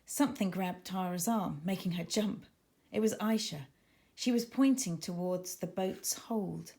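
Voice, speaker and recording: A woman reading her children's book aloud as a dramatic, suspenseful and expressive narrator. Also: on the low side, measured pace. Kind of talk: expressive scripted story narration.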